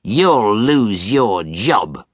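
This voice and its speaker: A man's voice: mid-range voice, very animated, slow pace, pushed and bright, no room sound, voice pushed up, exaggerated voice. A man putting on Scrooge, a cross old miser. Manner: snapping, scornful. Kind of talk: storybook reading.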